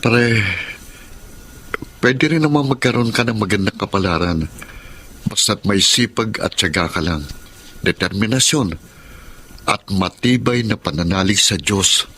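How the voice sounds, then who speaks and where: A man's voice: lowish voice, animated, unhurried, long pauses, pushed and bright, a little hiss or hum. A man acting an earnest, dutiful young man with a Filipino accent in a radio drama. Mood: concerned.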